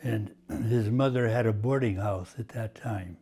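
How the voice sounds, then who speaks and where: An older man from Washington State, Croatian as his first language, slowly recalling his family's past with frequent pauses. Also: fairly low pitch, dark tone, breathy edge. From Washington State, USA. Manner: slow and halting, many uh pauses. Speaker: oral history interviewee. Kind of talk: reminiscing, oral history.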